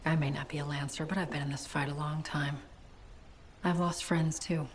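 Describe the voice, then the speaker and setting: Casting: cool, questioning spy-agency operative voiced by a woman, lowish pitch, controlled, game cutscene. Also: steady tone, moderate pace, slight background noise, close to an everyday voice. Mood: calm, softer. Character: composed, probing female intelligence agent. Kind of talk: video game cutscene acting.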